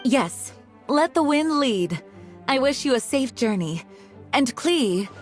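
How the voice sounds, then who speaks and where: A woman playing a responsible young knight commander in a video game, polite, earnest and measured. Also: sits high, sing-song, faint music behind, heavily acted. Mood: kind, composed. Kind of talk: video game character acting.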